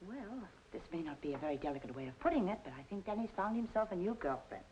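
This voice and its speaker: Woman playing a wry, quick, swooping noir dame. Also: on the low side, expressive, a little mellow, dull audio, slight background noise, natural voice. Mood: knowing, resigned. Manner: teasing, knowing, sardonic. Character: wry, worldly, good-natured woman in a noir drama. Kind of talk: 1940s-50s film noir radio drama acting.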